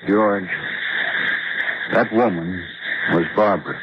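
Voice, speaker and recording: An actor playing a grave, measured male doctor at a jungle outpost in an old-time radio drama, speaking deliberately. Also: deep voice. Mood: grave, insistent. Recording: noisy, dull old radio recording.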